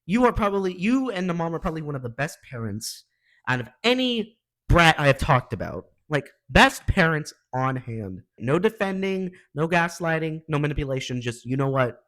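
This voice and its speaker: A man's voice: a little high, big pitch swings, moderate pace, fairly bright tone, rough recording. A man hosting a reaction video with casual, slangy, opinionated commentary in an exasperated manner.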